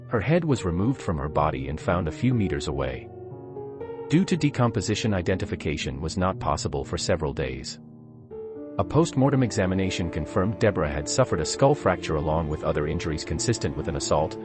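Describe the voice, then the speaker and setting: A man's voice: deep voice, big pitch swings, a little fast, over music. A man reading scripted narration for a true crime documentary.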